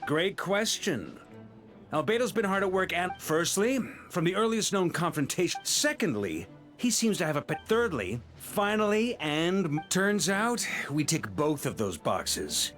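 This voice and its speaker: Expressive, easygoing young team leader. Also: male voice, high voice, a little slow, full character voice. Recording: light music behind the voice.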